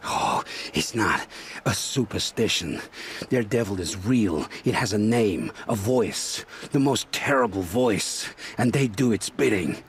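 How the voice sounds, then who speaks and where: An actor voicing a haunted, grim male scavenger who tells dark stories with intensity, in a video game. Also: on the low side, lively intonation, measured pace, slight background noise. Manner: intense, dramatic storytelling.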